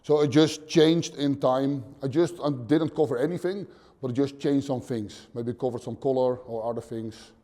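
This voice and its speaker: A man's voice: medium pitch, warm tone. Blunt Dutch man in his thirties. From Netherlands. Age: 30s. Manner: plain, blunt, swears casually, short halting phrases. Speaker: interview guest, gym owner. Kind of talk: casual interview answers. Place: dim gym indoors.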